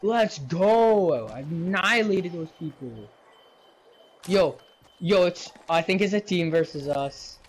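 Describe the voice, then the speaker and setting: A man's voice: sits high, animated, slow pace. A teenage male gamer chatting casually with teammates in slangy, trash-talking bursts. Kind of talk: casual team game chat. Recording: noisy game voice chat with clicks.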